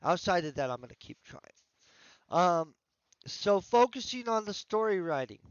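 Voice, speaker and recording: A man's voice: high-pitched, big pitch swings, moderate pace, clean tone, no room sound, highs cut off. Congested man rambling on a livestream. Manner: rambling, thinking aloud with restarts. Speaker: author streaming his writing session. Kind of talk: casual livestream chat.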